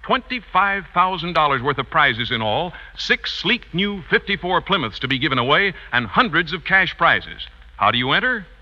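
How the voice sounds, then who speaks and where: A male announcer delivers an old radio ad with punch. His voice is fairly high, very bright and animated, on a dull vintage recording. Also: moderate pace. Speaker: commercial announcer. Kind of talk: punchy 1950s radio ad read.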